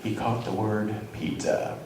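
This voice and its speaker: A man's voice: lowish voice, little pitch movement, measured pace, a crowd in the background. A man narrating and announcing from a script in an old-time radio style stage show. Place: large hall, live stage show. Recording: very echoey hall, distant mic, some noise.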